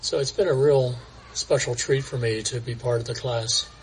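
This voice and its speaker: Man, mid pitch, conversational testimony, faint outdoor hum behind. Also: steady tone, normal speed, poor audio. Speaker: church member sharing reflection. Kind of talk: casual personal testimony.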